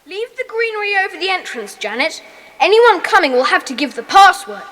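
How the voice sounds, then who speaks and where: Bossy high-voiced schoolboy in long reverb. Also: female voice, sing-song, fairly bright tone, exaggerated voice. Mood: bossy, brisk. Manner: brisk, commanding, hearty. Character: bossy young schoolboy leading a secret club. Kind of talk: children's audiobook dramatisation.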